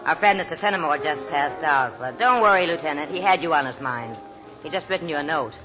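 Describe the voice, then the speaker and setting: An actress playing a worldly nightclub hostess, brisk, kind and matter-of-fact, in 1940s radio drama style. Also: female voice, deep voice, expressive, highs cut off. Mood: easygoing. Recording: 1940s radio broadcast, faint music.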